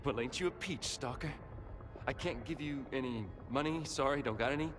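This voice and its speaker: A man's voice: mid-range voice, normal speed, over music, natural voice. An actor playing a wary, wheedling male drifter in a video game, with a folksy, slangy, sardonic manner. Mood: cheeky, apologetic. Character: wary, scruffy male stalker scrounger, cheeky and wheedling.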